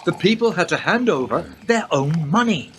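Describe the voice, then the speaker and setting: A man playing a knowing guide who explains history to a young woman in a radio play, in an explanatory, unhurried way. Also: a little high, expressive, a bit bright, slight background noise. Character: wise older male guide through history, knowing. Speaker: narrator-guide.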